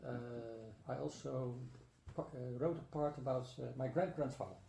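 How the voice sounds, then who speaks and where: A man's voice: mid-range voice, measured pace, gravelly, warm tone. An older man, an academic guest answering with personal anecdotes. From Netherlands. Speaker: guest, emeritus professor. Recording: echoey room, faint background noise.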